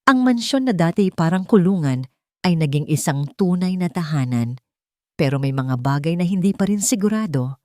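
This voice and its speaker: Low, mellow Filipina storyteller. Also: female voice, animated, moderate pace, no room sound, clean studio sound. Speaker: narrator of love stories. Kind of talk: dramatic scripted narration of a romantic audio story.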